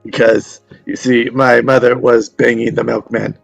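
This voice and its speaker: Creaky, deadpan old storyteller, played by a man. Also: medium pitch, a little slow, no room sound, soft background music. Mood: deadpan, mischievous. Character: ailing old father, wry teller of tall tales. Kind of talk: dramatic script table read.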